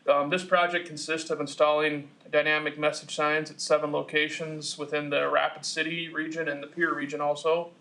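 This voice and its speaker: Flat-voiced, gravelly businesslike male presenter. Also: on the high side, normal speed, hardly any pauses. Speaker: project engineer presenting to a commission. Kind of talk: formal presentation of meeting report.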